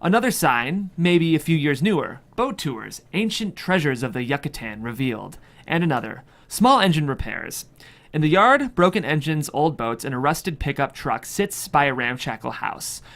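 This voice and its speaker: A man's voice: fairly high pitch, big pitch swings, normal speed, a bit bright. A man reading a screenplay's stage directions as scripted narration. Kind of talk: scripted narration at a table read.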